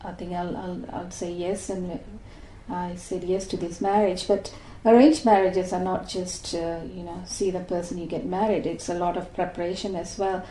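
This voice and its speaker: A woman's voice: fairly low pitch, flat delivery, normal speed, dark tone, slight background noise. Give me a woman in her sixties from Malaysia, talking thoughtfully in an interview, recorded in an echoey room. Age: 60s. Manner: thoughtful, with many fillers and restarts. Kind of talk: oral history interview.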